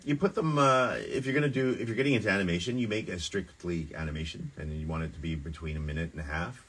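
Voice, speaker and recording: A man's voice: sits low, fast, fairly bright tone, breathy edge. A man voice actor chatting on a studio panel, giving casual advice with plenty of fillers. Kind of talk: casual convention panel chat. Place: studio room with a table of merchandise.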